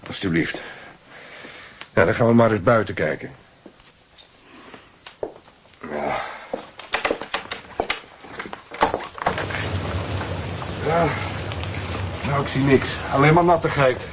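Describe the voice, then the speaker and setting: An actor in a radio play, playing a practical, plain-spoken night security guard with a casual, mildly sympathetic manner. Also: male voice, medium pitch, big pitch swings, moderate pace, lightly acted. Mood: resigned. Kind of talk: naturalistic radio drama acting. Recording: roomy, dull, faintly noisy recording.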